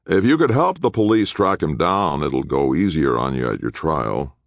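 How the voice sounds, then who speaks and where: A man's voice: extremely low pitch, lively intonation, normal speed, vocal fry, no echo, exaggerated voice. A man voicing a youngish public defender in an audiobook, cold, impatient and disapproving. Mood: stern. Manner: curt, disapproving, accusatory.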